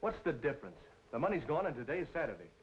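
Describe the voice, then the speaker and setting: Rapid-fire sullen noir man in trouble. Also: a little high, very animated, a little hiss or hum. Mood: despondent. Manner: clipped, evasive. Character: cornered, sullen noir gambler deep in debt. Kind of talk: mid-century film noir acting. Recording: dull old film soundtrack with music.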